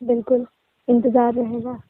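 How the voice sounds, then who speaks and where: A woman's voice: a little high, completely flat delivery, a little slow, husky, no echo. A young woman in a casual phone conversation, giving terse, guarded replies. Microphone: phone line. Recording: tinny, muffled phone line.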